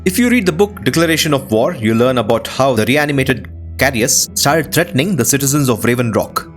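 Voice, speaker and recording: A man's voice: medium pitch, lively intonation, a little fast, soft background music. A man hosting a video game playthrough, commenting casually on what happens.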